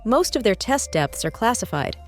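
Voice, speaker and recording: Woman as a lively-pitched, sensible plane girl. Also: normal speed, faint music behind.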